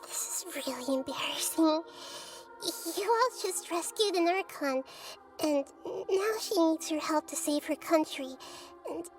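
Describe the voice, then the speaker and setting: A woman voicing a gentle, wise, childlike goddess in a video game, soft and earnest in a thoughtful, measured way. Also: very high voice, over music, poor audio. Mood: moved, humble. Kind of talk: video game cutscene voice acting.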